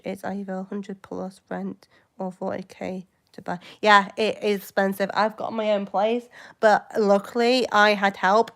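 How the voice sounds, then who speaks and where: Rambling young woman, smooth voice. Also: medium pitch. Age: 20s. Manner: rambling, hesitant, jumps between topics. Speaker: livestream host. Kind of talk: casual live chat with viewers.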